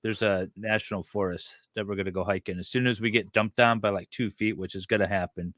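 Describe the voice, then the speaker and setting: A man's voice: fairly low pitch, steady tone, fairly quick, fairly bright tone, no room sound, studio quality. A man co-hosting a livestream, talking casually and sometimes reading aloud. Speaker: livestream co-host.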